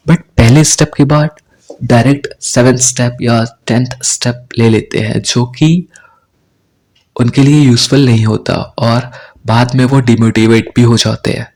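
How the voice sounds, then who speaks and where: Slow-paced Indian man teaching English. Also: medium pitch, darkish tone. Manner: slow, deliberate explaining with frequent "right?" check-ins. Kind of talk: online lesson in Hindi mixed with English.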